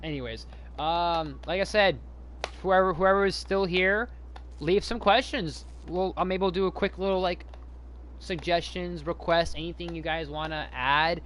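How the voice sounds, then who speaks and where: A man's voice: high-pitched, normal speed, a bit bright, a little hiss or hum. A man hosting a casual live stream, chatting to viewers in a rambling way, repeating himself and restarting his sentences.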